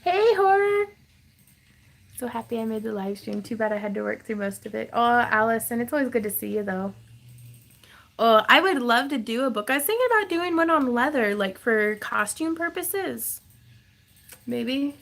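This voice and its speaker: A giggly, talkative woman answers viewer questions in a home craft room. Her voice sits a touch high and tumbles along with plenty of fillers. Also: lively intonation, normal speed, vocal fry, a bit bright. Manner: chatty, giggly, lots of fillers. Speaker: craft channel host. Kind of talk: livestream Q&A chat.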